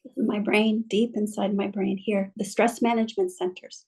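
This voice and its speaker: Gentle woman guiding a calm reflection. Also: mid-range voice, vocal fry, slightly rough. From mother from Mexico, bilingual. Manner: gentle, slow, soothing guidance. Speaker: guest speaker. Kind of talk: guided reflection and talk.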